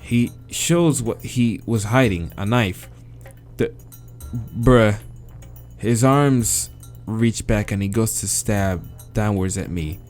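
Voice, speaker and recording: An American man reading a visual novel aloud as a let's-play host, dramatic in the narration and breaking into slangy, expressive asides. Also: on the low side, takes it slowly, pauses often, faint music behind. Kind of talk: dramatic read-aloud with casual reactions.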